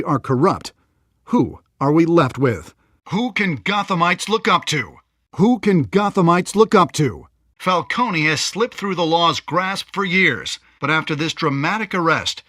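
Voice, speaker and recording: An actor playing a polished television news anchor in a video game, reading crisply with grave, dramatic emphasis. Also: male voice, a little high, a bit bright, no room sound, clean studio sound.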